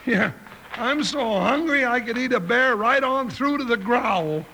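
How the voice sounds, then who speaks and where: A comic cowboy sidekick with an extremely high, bright voice. He is folksy and good-natured, heard on a slightly noisy old radio western. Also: lively intonation, measured pace, vocal fry, full character voice. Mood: jovial, relieved. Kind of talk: 1950s radio western acting.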